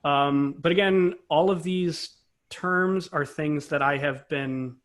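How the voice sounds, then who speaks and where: A man's voice: a little high, slow pace, a bit bright. A man speaking as an interview guest, a composer and conductor explaining things conversationally in a thoughtful, self-correcting way.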